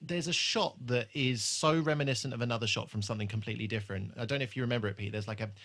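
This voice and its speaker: A man with a clear-cut, bright voice co-hosts a podcast. He reviews television in loose, conversational talk, peppered with swearing. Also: mid-range voice, racing. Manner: informal, sweary. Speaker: podcast host. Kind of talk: casual chat reviewing a TV episode.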